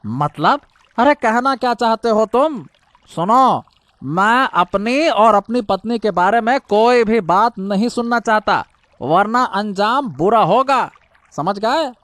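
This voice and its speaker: A man's voice: high-pitched, animated, slow pace, a bit bright, no room sound, exaggerated voice. A man voicing a scheming, defensive boatman in a cartoon story, flustered and exclamatory, often repeating his words. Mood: defensive anger. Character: scheming, defensive male boatman hiding a secret. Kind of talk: Hindi moral-story cartoon dubbing.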